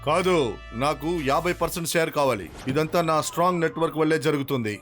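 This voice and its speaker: A greedy, stubborn villain from a cartoon, performed by a man. He is boastful and insistent, talking quickly in a slightly high voice over faint music. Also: fairly bright tone, close to an everyday voice. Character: greedy, stubborn male telecom boss villain. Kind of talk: Telugu cartoon dub acting.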